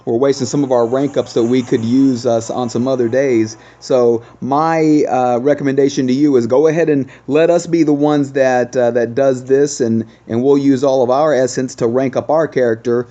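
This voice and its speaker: A man's voice: medium pitch, steady tone, moderate pace, few pauses, dark tone, muffled recording. A man doing casual, explanatory game commentary as the host of a gaming video.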